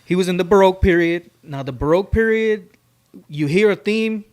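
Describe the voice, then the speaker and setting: Casual podcast guest: South Texas man, thirties, on the higher side, very informal and slangy. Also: fairly quick, darkish tone. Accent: South Texas American. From Texas, United States. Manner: informal, slangy, addresses listeners as bro. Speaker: podcast guest, truck driver and classical guitarist. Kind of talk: casual chat.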